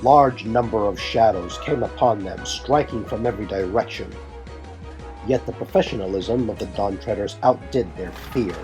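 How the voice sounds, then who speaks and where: A man's voice: on the low side, normal speed. A man delivering scripted, dramatic narration for an audio drama. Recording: music bed behind the voice.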